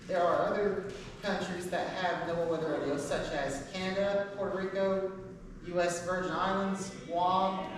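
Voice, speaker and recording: Woman, amateur presenter, halting read-aloud, heavy echo and clear background noise. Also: on the low side. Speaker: presenter giving a class. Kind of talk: informal presentation read from a paper. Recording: echoey hall from far off, noisy.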